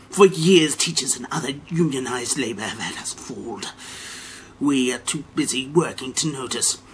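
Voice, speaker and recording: Casting: middle-aged man playing arrogant American banker, slightly high male voice, menacing theatrical monologue, whispers to bold declarations, noisy background. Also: natural voice. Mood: sneering. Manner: theatrical, dropping to whispers and swelling to emphatic declarations. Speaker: performer of a dramatic reading. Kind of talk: dramatic monologue reading.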